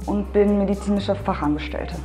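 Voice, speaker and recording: A woman's voice: medium pitch, moderate pace, warm tone, faint music behind. A woman in her twenties, a medical assistant, giving brief plain interview answers. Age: 20s. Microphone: lapel mic.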